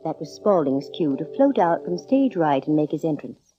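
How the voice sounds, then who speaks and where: An actress playing a cool, wisecracking female private detective in 1940s radio style, brisk, dry and self-assured. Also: low voice, very animated. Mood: wry narrating. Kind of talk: 1940s radio acting, first-person detective narration. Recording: dull vintage radio recording, music underneath.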